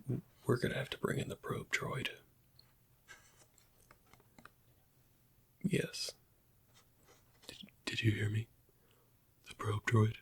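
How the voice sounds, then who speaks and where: Weary, bitter Imperial interrogator, played by a man for ASMR roleplay. Gravelly whisper, halting, pointed questions. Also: medium pitch, a bit bright, full character voice. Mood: probing, impatient. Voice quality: breathy, husky whisper.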